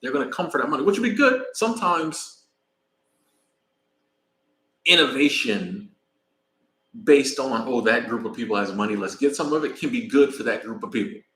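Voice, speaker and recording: A man's voice: medium pitch, lively intonation, normal speed, long pauses, bright, forceful tone, reverberant. Laid-back American man chatting live. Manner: rambling, laid-back, thanks viewers by name. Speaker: vlogger. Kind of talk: casual live-stream chat. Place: in Mexico, traveling.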